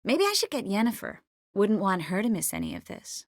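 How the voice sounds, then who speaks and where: A woman playing a poised, wry, warm-hearted sorceress in a video game, composed and lightly teasing. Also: mid-range voice, wildly swooping pitch, brisk, no room sound, studio quality. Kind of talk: scripted game character dialogue.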